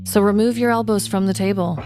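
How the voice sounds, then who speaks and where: A woman's voice: mid-range voice, steady tone, a little fast, over music. A woman co-hosting a podcast, chatting and telling stories in a playful way. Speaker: podcast co-host. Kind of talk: casual chat and storytelling.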